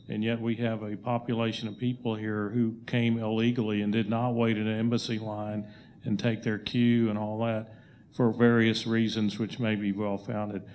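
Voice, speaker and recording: A man in the audience poses a deliberate question to the panel. His voice has a gravelly, fry-laden texture, and faint noise hums behind it. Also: lowish voice, darkish tone. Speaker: audience member asking a question.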